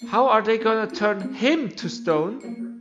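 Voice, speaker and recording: An excitable little creature from a video game, acted by a man, exclaims in a raised, high voice. It sounds incredulous, with a non-native English delivery and music playing behind. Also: takes it slowly, lo-fi sound, exaggerated voice. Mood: alarmed, incredulous. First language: not English.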